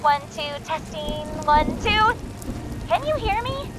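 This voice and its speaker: Game rebel boss: very high, lively swings, sarcastic, teasing, playful. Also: female voice, takes it slowly, noisy background, full character voice. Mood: breezy, playful. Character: sardonic, playful woman leader of secret rebel wardens. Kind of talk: theatrical video game acting.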